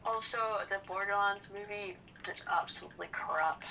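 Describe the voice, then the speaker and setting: A woman's voice: medium pitch, moderate pace, sharp tone, highs cut off, a little hiss or hum. A woman chatting casually and bluntly as co-host of a gaming session, swearing now and then. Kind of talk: casual chat during a video game session. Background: video game music.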